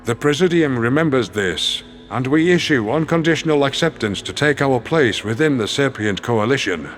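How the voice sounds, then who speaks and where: A man's voice: medium pitch, animated, moderate pace, soft background music, pitched below the performer's own voice, lightly acted. A man voicing a resolute, plainspoken alien president giving an emphatic formal speech in a sci-fi audio story.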